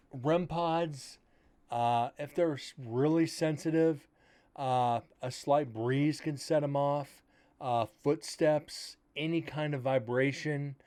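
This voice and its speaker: A man hosting a paranormal show with a loud, carrying voice. He comments bluntly on old recordings in a casual, opinionated way. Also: fairly high pitch, clear, resonant tone. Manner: blunt and opinionated, explains gear plainly. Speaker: paranormal investigator host.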